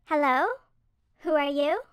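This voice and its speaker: A high, dry-sounding voice for a storybook beaver leader played by a woman. She is strict and self-critical, a female boss worn down by worry. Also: moderate pace, no echo, close to an everyday voice. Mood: puzzled. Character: stern, stressed female beaver team leader. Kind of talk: acted storybook character dialogue.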